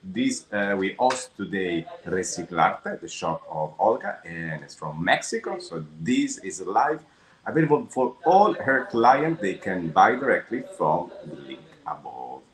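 A man's voice: deep voice, sing-song, slow, reverberant, low-quality recording. A man with an Italian accent hosting a live craft shopping stream, chatting and reading viewer comments aloud. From Italy. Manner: chatty, switches between English and Spanish. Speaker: live shopping show host.

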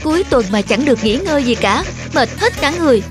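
A put-upon young mother in a cartoon, her voice on the high side and tired of housework. Music plays clearly behind her. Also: expressive, quick pace. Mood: weary, grumbling. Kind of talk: Vietnamese cartoon voice acting. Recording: music under the voice.

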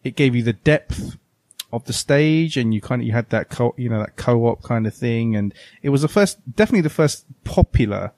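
A man's voice: medium pitch, expressive, fairly quick, darkish tone, no room sound. A man co-hosting a podcast, chatting casually and giving opinions with emphasis. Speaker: podcast co-host. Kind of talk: casual retro gaming chat.

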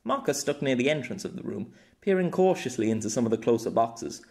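A man's voice: medium pitch, expressive, a little fast, darkish tone. A man reading scripted story narration expressively as an amateur audiobook narrator.

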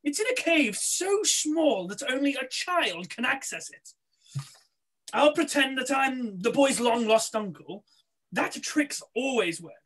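A male pantomime villain with a high, swooping voice. He is boastful and scheming, theatrical and gloating, with a cackling streak and a sharp, bright edge. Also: sing-song, measured pace, exaggerated voice, rough recording. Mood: gloating, menacing. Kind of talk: amateur pantomime read-through. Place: small room, on a video call. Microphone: computer mic on video call.

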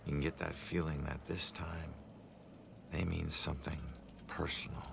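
An actor playing a gruff, haunted hard-boiled detective in a video game, gravelly and terse. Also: male voice, extremely low pitch, little pitch movement, normal speed, background noise, heavily acted. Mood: brooding. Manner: terse, brooding. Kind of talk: noir game voice acting, brooding narration.

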